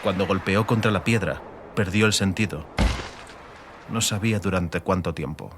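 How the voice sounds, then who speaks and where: A man's voice: sits low, normal speed, a little hiss or hum. A man reading a scripted, dramatic fantasy audiobook narration.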